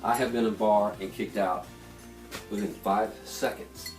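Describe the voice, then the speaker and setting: A middle-aged man telling loose, profane anecdotes to friends in an animated way. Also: lowish voice, normal speed. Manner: animated, profane, laughing through stories, quoting people. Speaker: storyteller. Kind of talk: casual anecdote among friends. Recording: echoey room, far from mic, music behind.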